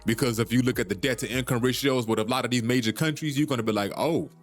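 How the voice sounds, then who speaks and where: A man's voice: medium pitch, quick pace, faint music behind. A man hosts a solo podcast, talking in an urgent, preachy way that repeats points and swears casually. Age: 30s. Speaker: podcast host.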